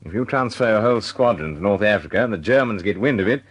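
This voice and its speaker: A man playing a courteous, cautious senior wartime official in a radio drama, speaking deliberately. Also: on the low side, lively intonation, husky, muffled recording. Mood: cautious, serious.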